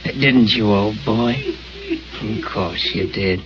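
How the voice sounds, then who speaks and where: A man's voice: fairly low pitch, slow, a bit bright, a little hiss or hum. A dreamy, childlike young man. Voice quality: stammering, childlike wonder. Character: gentle, simple-minded, dreamy young man. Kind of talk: 1940s radio acting. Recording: muffled old radio broadcast.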